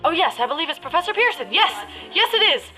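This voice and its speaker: A woman's voice: extremely high pitch, sing-song, fast, sharp tone, heavily acted. A woman playing an earnest, increasingly alarmed radio field reporter, giving dramatic live commentary in a parody of old radio drama. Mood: tense wonder. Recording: music behind the voice.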